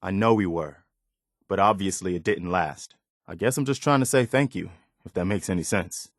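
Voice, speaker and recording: A man's voice: low voice, moderate pace, no echo, clean studio sound. A male actor voicing an earnest, weary, loyal militia soldier in a video game, speaking in a plain-spoken, folksy way. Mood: sincere, grateful. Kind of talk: dramatic video game dialogue.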